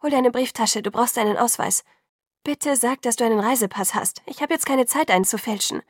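A woman's voice: fairly high pitch, fairly quick, no echo, studio quality, lightly acted. A woman voicing an impatient, fierce young female vampire in an audiobook, urgent and commanding.

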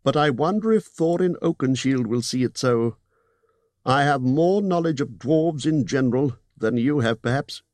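A man voicing a wise male elf king who speaks in a formal, measured way. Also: mid-range voice, a little mellow, no room sound, pitched above the performer's own voice, natural voice. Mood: stately.

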